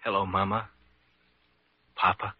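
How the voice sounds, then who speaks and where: An actor playing an earnest, remorseful young son in an old radio drama. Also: male voice, deep voice, level tone, measured pace, breathy edge. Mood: apologetic. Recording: muffled vintage radio recording.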